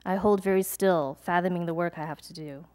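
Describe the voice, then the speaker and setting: A young adult woman, a poet, reading her poems aloud at a live event, measured and deliberate, with chatty, hesitant asides between pieces. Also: on the low side, steady tone, darkish tone. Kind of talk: poetry reading with casual asides to an audience.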